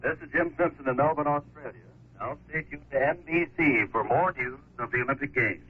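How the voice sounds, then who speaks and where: A man's voice: on the high side, brisk. An American man working as a sports interviewer on 1950s radio. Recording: dull, noisy 1950s radio broadcast.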